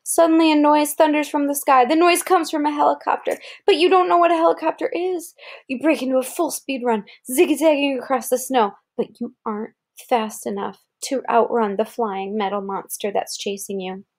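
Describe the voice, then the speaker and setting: Female, higher-pitched voice, read-aloud narration for a young listener, explanatory delivery with casual asides. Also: fairly even intonation, darkish tone. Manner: explanatory, pausing to gloss words and point out pictures. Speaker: reader presenting an article aloud to a child.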